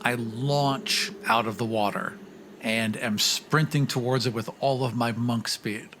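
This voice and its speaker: Mid-pitched man playing a tabletop game. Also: fairly even intonation, measured pace, a bit bright, a little hiss or hum. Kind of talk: casual in-character game narration.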